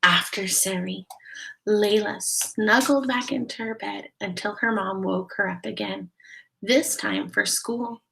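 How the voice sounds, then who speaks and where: Female read-aloud teacher: slow, creaky delivery, explains carefully for kids. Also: mid-range voice, fairly bright tone, low-quality recording. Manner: deliberate, explanatory, addressing children. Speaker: teacher reading a picture book aloud to children. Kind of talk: read-aloud storytelling with questions to young listeners.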